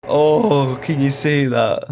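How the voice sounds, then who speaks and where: A man's voice: medium pitch, slow, background noise. A man hosting a casual reaction video, joking irreverently and swearing as he comments and reads captions aloud.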